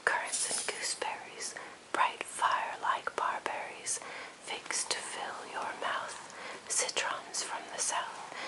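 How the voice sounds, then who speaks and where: A woman whispering a poem for ASMR in a breathy, unvoiced voice, reciting gently and deliberately. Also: poor audio. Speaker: ASMR host. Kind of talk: ASMR whispered poetry reading.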